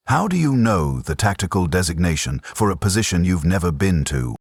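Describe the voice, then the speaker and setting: Blunt, suspicious squad sergeant for war fiction, voiced by a male narrator. Gruff manner, dry close sound. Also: low voice, sing-song, a little fast, no echo, full character voice. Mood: angry, suspicious.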